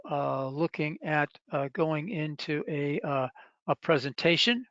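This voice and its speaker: A man with a slightly high voice gives an explanatory product presentation on a web call. He pauses and hesitates as he works through each point. The sound is dry and a little dull, like lo-fi webinar audio. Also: no room sound.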